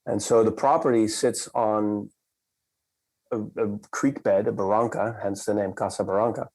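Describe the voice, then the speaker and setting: A man from the Netherlands, a company cofounder, telling a story in a measured, reflective way and slowing down for emphasis. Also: fairly low pitch, fairly even intonation, darkish tone, rough recording. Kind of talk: conversational storytelling.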